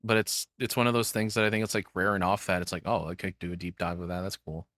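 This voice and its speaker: A man's voice: low-pitched, little pitch movement, fast talker, a bit bright, dry recording. A man giving casual live reaction commentary as a streamer, rambling and swearing often, close to the microphone.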